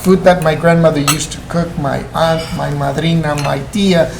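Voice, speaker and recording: A man's voice: on the high side, fairly even intonation, moderate pace, gravelly. A man from Mexico with a Mexican accent, a chef talking casually in an interview, hesitant with many fillers. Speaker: chef and restaurant co-owner. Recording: echoey room with background noise.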